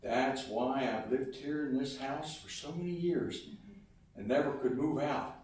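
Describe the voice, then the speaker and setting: A man's voice: mid-range voice, unhurried, a little mellow, lightly acted. A man playing a genial, stubborn old grandfather in a live radio comedy, folksy and plainspoken. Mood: tender, wistful. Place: live stage performance in a large echoey room. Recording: very roomy, distant live hall recording.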